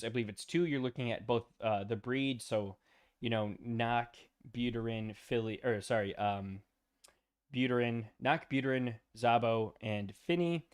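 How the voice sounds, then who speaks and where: A man hosting a casual livestream, tired and losing his voice, talking loosely with many fillers and greeting viewers by name. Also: medium pitch. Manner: loose and rambling, many ums and uhs, greets chat by name. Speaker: livestream host.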